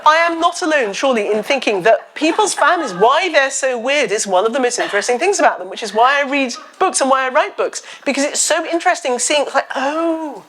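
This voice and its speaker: A woman's voice: high voice, a little fast, few pauses. Chatty woman novelist on stage. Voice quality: loud voice. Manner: chatty, animated storytelling with self-deprecating humour. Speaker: novelist guest. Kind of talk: live on-stage literary chat. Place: festival stage before an audience. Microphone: stage microphone.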